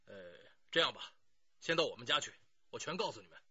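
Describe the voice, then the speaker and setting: A man's voice: on the high side, expressive, fast, bright, forceful tone, slightly rough, no room sound, dull audio, heavily acted. An actor playing a talkative, self-justifying middle-aged man under police questioning in a radio drama, pleading and sighing as he explains. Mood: eager, reassuring.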